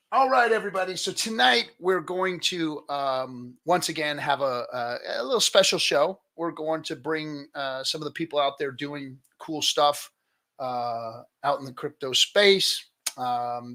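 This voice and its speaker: Guy hosting a casual livestream, kinda high voice, really bright and forceful. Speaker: livestream host.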